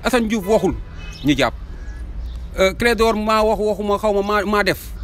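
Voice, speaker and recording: A man's voice: high-pitched, moderate pace, fairly bright tone. Senegalese guy giving a fired-up street interview, emphatic, bit of noise outside. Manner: emphatic, repeats rhetorical questions. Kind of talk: impassioned vox pop opinion, mixing two languages. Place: outdoors in a city street. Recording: outdoor street ambience and traffic.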